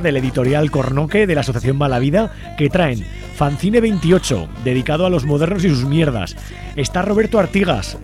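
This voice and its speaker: A man's voice: a little high, a little mellow. Aragonese man hosting radio, music underneath. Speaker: radio show host. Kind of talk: live community radio presenting. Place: radio studio. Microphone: studio microphone.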